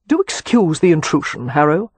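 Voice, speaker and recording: A brusque, exasperated young lord, as a narrator reads him, curt and mocking toward everyone. The voice is slightly husky and recorded dry and close. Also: medium pitch, sing-song, moderate pace, dry recording. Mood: impatient. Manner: impatient, sardonic. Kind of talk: audiobook character voice.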